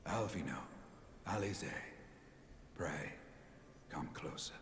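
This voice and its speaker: Deep, solemn old sage. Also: male voice, lively intonation, slight background noise, heavily acted. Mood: solemn, earnest. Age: older. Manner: grave, archaic, measured, with breathy hushed phrase endings. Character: solemn, tender old sage, a dying grandfather. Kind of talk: scripted dramatic game cutscene acting. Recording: echoey long reverb, game music behind. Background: game music.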